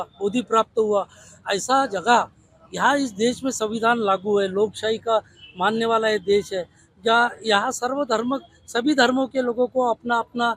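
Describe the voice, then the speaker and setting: A man's voice: high-pitched, dry recording. A man with a Maharashtrian accent speaking as an activist in an impassioned interview, insistent and fond of repeated rhetorical questions. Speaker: protest leader and party activist.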